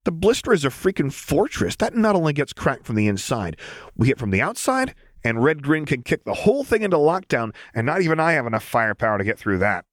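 A man's voice: fairly high pitch, very animated, no room sound, studio quality, voice pushed up. A man doing a giddy, manic young woman. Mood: scoffing. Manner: giddy, fast, cackling delivery.

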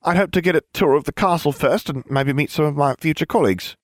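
A man voicing a giggly, airheaded young witch who punctuates her words with a squeaky tee-hee. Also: fast talker, no room sound, natural voice. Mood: gushing.

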